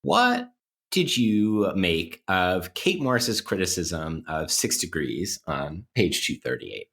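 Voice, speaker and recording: A man hosting a casual podcast interview, starting haltingly and repeating words amid slightly mock-formal phrasing. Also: low-pitched, big pitch swings, fairly bright tone. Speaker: podcast host.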